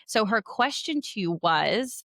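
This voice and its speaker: A woman hosting a casual podcast, talking in an excitable, informal, girl-talk way peppered with "like". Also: medium pitch, measured pace, creaky, no echo, clean studio sound. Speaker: podcast host. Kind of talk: casual chatty phone-call podcast.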